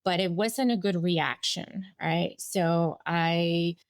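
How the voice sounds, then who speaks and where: Candid woman in her forties, low-voiced. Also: slow pace, no room sound, studio quality. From Bulgaria. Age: 40s. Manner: reflective, candid, with small laughs and restarts. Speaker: guest. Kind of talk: personal storytelling interview.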